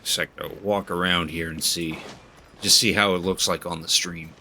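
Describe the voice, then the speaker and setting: Very deep-voiced male game streamer. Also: fairly even intonation, moderate pace, pushed and bright, slight background noise. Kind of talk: casual live stream commentary and reading game dialogue. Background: faint game music.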